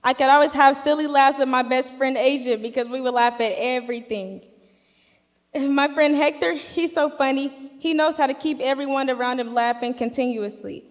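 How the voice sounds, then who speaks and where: A young woman with a high voice delivers an earnest, heartfelt graduation speech. Her words echo with the long reverb of a large hall. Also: moderate pace. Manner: earnest and heartfelt, rising to loud cheers. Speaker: graduating student.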